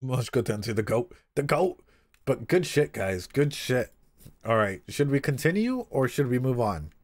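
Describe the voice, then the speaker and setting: A man streaming a casual read-aloud with commentary, talking in an animated, swearing way with frequent asides. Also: medium pitch, normal speed.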